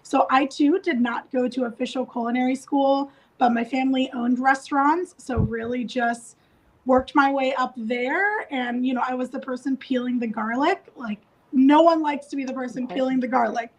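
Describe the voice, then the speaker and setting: High-voiced woman from Thailand. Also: steady tone, moderate pace, rough recording. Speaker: cooking instructor. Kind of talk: casual live video chat.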